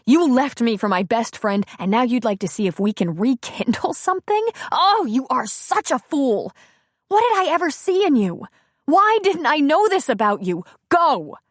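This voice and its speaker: A woman reading an audiobook, voicing a bitter betrayed wife who scorns and shouts at her cheating ex-husband. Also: very animated, moderate pace, few pauses, no room sound, studio quality. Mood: furious. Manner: scornful, shouting, raised pitch.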